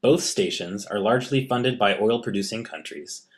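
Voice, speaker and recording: A man with a fairly low voice reading scripted narration. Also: moderate pace.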